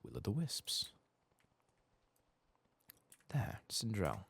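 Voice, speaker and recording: A man softly narrating a video game playthrough in ASMR style, with dry, sweary asides. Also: on the low side, huge pitch swings, slow pace, creaky, slightly rough. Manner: dry, sweary asides, reads quest text aloud. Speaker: ASMR gaming streamer.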